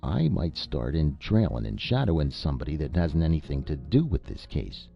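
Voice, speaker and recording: A man voicing an earnest, slow-talking rustic detective with a drawling country manner in a storybook reading. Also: extremely low pitch, sing-song, creaky, exaggerated voice. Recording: lo-fi with faint music.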